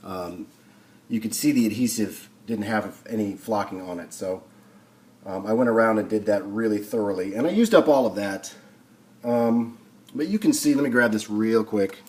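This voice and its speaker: A man thinking aloud in an unhurried way as he gives a casual hands-on tutorial at a workbench. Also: fairly low pitch, darkish tone. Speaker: hobbyist demonstrating a restoration. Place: indoor home workshop. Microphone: camera on the workbench, speaker off-screen. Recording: noisy camera audio, background noise.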